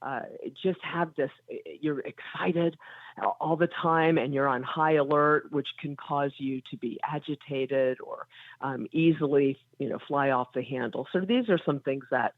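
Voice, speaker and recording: A woman's voice: low voice, moderate pace, no room sound. Woman guest on a tinny phone line. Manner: friendly, explanatory, many fillers. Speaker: psychotherapist guest. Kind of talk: phone-in interview.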